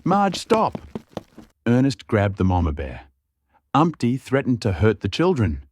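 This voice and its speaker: A man's voice: fairly low pitch, very animated, moderate pace, darkish tone, a little hiss or hum. A man reading scripted fantasy fiction as a storyteller, in a dramatic narration style.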